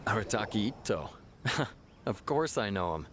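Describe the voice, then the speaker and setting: A man's voice: lowish voice, expressive, normal speed, noisy background, close to an everyday voice. A man voicing a stern, self-assured law officer in a video game who talks in a firm, matter-of-fact way. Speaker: official pursuing a suspect. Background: game music.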